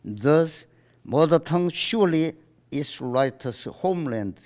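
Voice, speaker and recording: A man from South Korea with a Korean accent, a native Korean speaker, formally reading out a statement in halting, deliberate short phrases. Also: fairly high pitch, expressive, darkish tone. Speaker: writers' association chairman giving a statement. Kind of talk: formal read-aloud statement. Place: press conference in a book salon.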